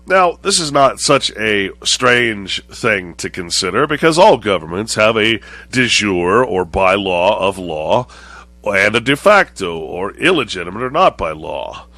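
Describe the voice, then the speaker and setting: A man with a cold-thickened voice, hosting a show and lecturing deliberately to his viewers, often rephrasing as he goes. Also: lowish voice, very animated, bright, forceful tone, dry recording. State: voice lowered and congested, recovering from chest cold. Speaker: show host. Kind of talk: monologue to viewers, political and religious commentary.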